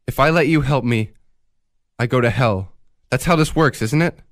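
A man's voice: mid-range voice, moderate pace, no room sound, studio quality, lightly acted. An actor playing a frightened young murderer in a radio drama, stammering as he argues back. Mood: defiant. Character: Mark, panicked young male murderer.

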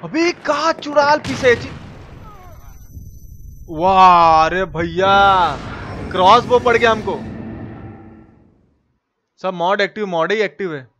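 A young man doing casual, slangy live game commentary, repeating his phrases excitedly and chatting with viewers. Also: sits high, takes it slowly, pauses often, a little hiss or hum. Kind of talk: casual gameplay commentary with chat replies.

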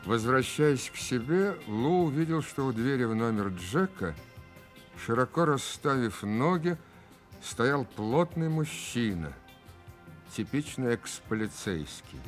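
A man's voice: medium pitch, sing-song, takes it slowly, faint music behind. A man narrating a radio drama in a scripted, storytelling style.

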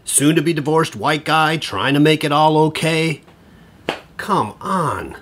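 An actor playing a middle-aged divorcing suburban dad in a podcast drama, profane, sardonic and rambling. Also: male voice, a little high, expressive, takes it slowly, fairly bright tone, slight background noise. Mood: frantic, pleading. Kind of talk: podcast audio drama acting.

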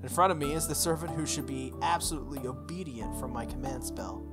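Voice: medium pitch, brisk. A game player reading a visual novel aloud, narrating and dropping in casual reactions. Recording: music under the voice.

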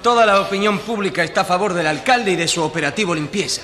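A man's voice: high-pitched, fast talker, pushed and bright. A man acting a well-informed newspaper journalist who explains things in a conversational radio drama. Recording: reverberant hall sound.

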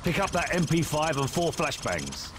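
A man's voice: on the high side, wildly swooping pitch, moderate pace, background noise. A man playing a blunt, commanding British special forces captain in a military video game. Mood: stern, briefing. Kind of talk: video game dialogue, giving orders.

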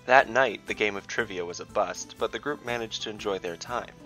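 A man's voice: on the low side, fairly quick, pushed and bright, faint music behind, poor audio. A man narrating a scripted fantasy tale as dungeon master, with theatrical, descriptive storytelling.

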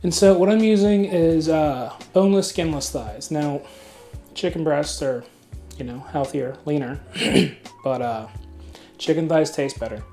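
A man's voice: on the high side, measured pace, soft background music. A man in his thirties hosting a cooking video in his home kitchen, talking casually with lots of fillers and the odd swear word. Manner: relaxed and chatty, many fillers, mild swearing. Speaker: cooking channel host.

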